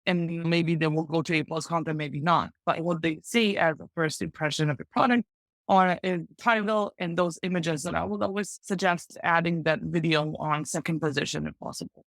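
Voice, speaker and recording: A woman's voice: low voice, fairly even intonation, normal speed, dry recording. A woman guest on a podcast, giving practical e-commerce advice in a chatty, enthusiastic way, sprinkling in lots of "like". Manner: chatty, enthusiastic, full of "like" fillers. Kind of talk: casual advice-giving interview talk.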